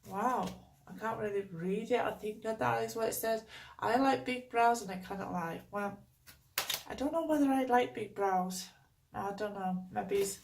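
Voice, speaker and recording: A woman from the North East of England speaks in a Geordie accent, her voice croaky and gravelly from a cold. She rambles in slow, unhurried talk full of fillers. The recording picks her up from a little distance, with faint noise behind her. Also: a little high. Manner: chatty, rambling, lots of fillers. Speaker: beauty vlogger. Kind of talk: casual unboxing chat. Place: home.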